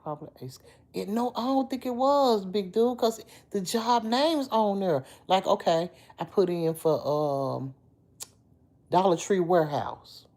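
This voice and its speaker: A middle-aged woman with a deep, slightly hoarse voice, chatting casually on a livestream and answering commenters. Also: animated, a little slow, darkish tone. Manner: chatty, talks back to commenters by name. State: a little hoarse. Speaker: livestream host. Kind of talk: casual livestream chat with viewers.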